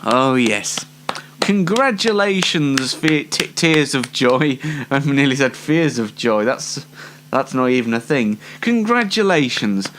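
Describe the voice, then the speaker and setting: A man's voice: mid-range voice, lively intonation, slow, a bit bright, slight background noise. A twenty-year-old British man presenting an informal solo online show in a dry, tongue-in-cheek, rambling way.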